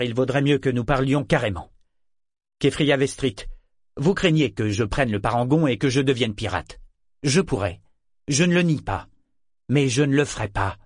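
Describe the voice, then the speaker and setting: A blunt, proud and somewhat bitter male sailor in an audiobook, voiced by a male narrator. His pitch is medium. The sound is very dry. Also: dry recording, lightly acted. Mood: proud, frank. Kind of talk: audiobook character dialogue.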